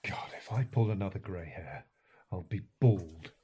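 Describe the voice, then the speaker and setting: An actor playing a middle-aged, resentful, self-pitying husband in a naturalistic audio drama, muttering bitterly. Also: male voice, deep voice, lively intonation, moderate pace, warm tone, slightly rough, full character voice. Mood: weary, bitter.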